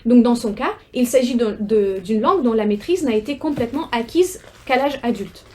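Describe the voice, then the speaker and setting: A young adult woman researcher giving an academic seminar talk, measured and often pausing on fillers and repeated words. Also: on the high side, animated, darkish tone.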